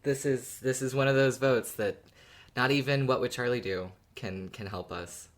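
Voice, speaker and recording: Very low-voiced woman, dryly teasing. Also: moderate pace. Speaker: podcast co-host. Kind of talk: casual chat.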